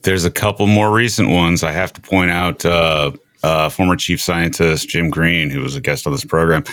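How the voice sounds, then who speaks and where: A man hosting a conversational interview about space, speaking in a measured, halting way. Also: deep voice, fairly even intonation, a bit bright, no room sound. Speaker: interview show host.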